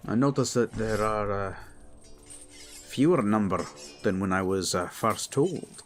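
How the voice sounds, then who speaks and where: A man's voice: fairly low pitch, measured pace, faint music behind, close to an everyday voice. A man playing a weary, earnest knight-captain in a fantasy audio drama, speaking in a subdued, sincere and hesitant way. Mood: subdued, worried.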